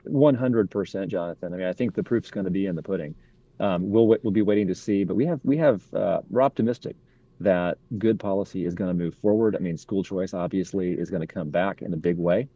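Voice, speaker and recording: A man answering as an interview guest, measured and upbeat, with filler pauses, heard over muffled remote audio. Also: low-pitched, dark tone, no room sound. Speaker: guest, legal advocate.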